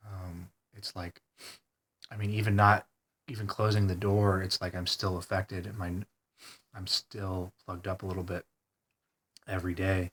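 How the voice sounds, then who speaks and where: Deep flat-voiced stuffed-up man. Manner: hesitant, full of ums and pauses, soft-spoken. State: congested, stuffed-up nose. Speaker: vlogger. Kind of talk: rambling personal video diary.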